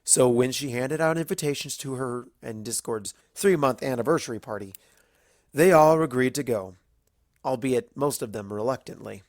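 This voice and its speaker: A narrator reading a fan-fiction story aloud in an expressive storybook style. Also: medium pitch, normal speed, no room sound, clean studio sound.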